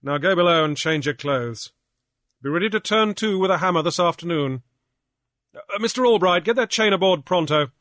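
A man doing a brisk, commanding sea captain. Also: high voice, lively intonation, a bit bright, no room sound, studio quality, pitched above the performer's own voice. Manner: brisk and commanding, barking orders. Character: tough, commanding male ship captain. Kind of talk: audiobook character voice.

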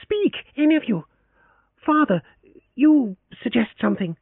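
A man reads out a proud, fiery young noblewoman in a high, strained register, commanding and scornful. The sound is tinny and narrow, like a phone line, and bone dry. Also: big pitch swings, a little slow, gravelly, husky, dry recording, heavily acted. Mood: impassioned.

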